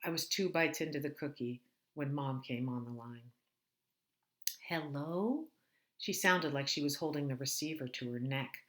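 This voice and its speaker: A woman's voice: rumbling low, moderate pace. A woman author reading her own novel aloud in first person, with a dry, wry tone. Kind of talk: first-person audiobook narration.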